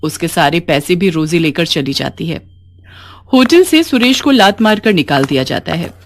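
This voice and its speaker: Expressive woman storyteller, lowish voice. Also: moderate pace, slight background noise. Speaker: story narrator. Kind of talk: scripted narration of a moral story.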